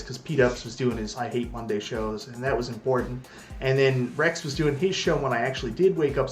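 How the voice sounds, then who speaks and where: A Canadian man in his forties with a light accent, casually chatting on a live stream, greeting viewers and joking about his own skills. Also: mid-range voice. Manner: chatty, greets viewers by name, self-deprecating.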